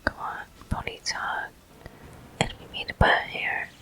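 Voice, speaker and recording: A gameplay host speaks entirely in a breathy whisper for ASMR. The sound is very bright and airy, with no real voice behind it. The delivery is soft and unhurried, gently narrating each step of play. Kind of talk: ASMR whispered game commentary.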